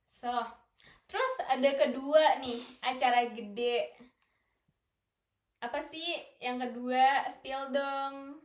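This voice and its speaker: A woman's voice: high voice, takes it slowly, long pauses. A young woman, bantering casually as a co-host in a playful, teasing, slangy way. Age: young adult. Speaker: podcast co-host prompting others. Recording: echoey room, noticeable background noise.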